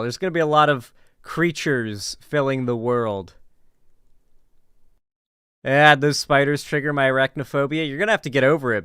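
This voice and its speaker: A man's voice: mid-range voice, fairly bright tone, clear, resonant tone, no echo, studio quality. A man doing casual live stream commentary as he reacts to videos and chats with viewers, informal and sweary with gamer slang.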